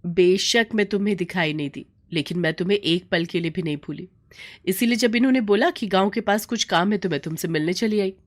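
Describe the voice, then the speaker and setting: A woman's voice: medium pitch, fairly quick, natural voice. A woman voicing an affectionate, chatty young married friend in a storybook tale. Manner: chatty, familiar.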